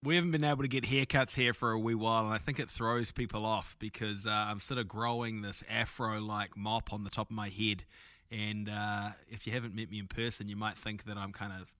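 A man's voice: fairly low pitch, steady tone, brisk, sharp tone, dry recording. A man with a New Zealand accent, co-hosting over a video call, joking about himself in a dry way. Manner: self-deprecating, dry humor.

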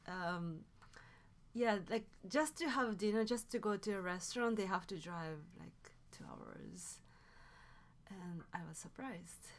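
A woman's voice: mid-range voice, a little slow. A woman from Japan with a Japanese accent, a native Japanese speaker, telling stories as a podcast guest in a hesitant way, often correcting herself. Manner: hesitant, self-correcting, soft asides. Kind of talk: casual conversational storytelling.

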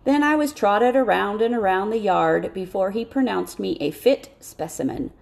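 Creaky-voiced woman narrating an audiobook. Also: mid-range voice, expressive, moderate pace, a little mellow. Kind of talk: scripted first-person story narration.

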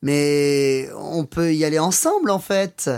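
A man's voice: a little high, unhurried, creaky, a little mellow, smooth. A man playing a friendly local Frenchman giving helpful directions in a scripted language-course dialogue.

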